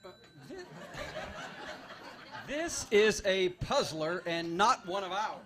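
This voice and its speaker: A man's voice: sits high, lively intonation, slow. A man playing a bantering, mock-serious radio advice host, one of two brothers, in a live comedy sketch. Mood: teasing. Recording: live stage recording with background noise.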